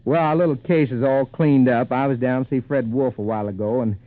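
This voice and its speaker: A man's voice: medium pitch, a little fast, lightly acted. A man playing a polite, assured city lawyer in 1940s radio acting. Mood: courteous, assured. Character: calm, courteous city lawyer. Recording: noisy, tinny 1940s radio recording.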